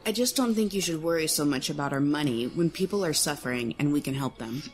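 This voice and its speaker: A man voicing a young, compassionate but firm and bossy prince with formal, measured phrasing in an audiobook story. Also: on the high side, expressive, voice pushed up, close to an everyday voice. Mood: stern. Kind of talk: audiobook character dialogue.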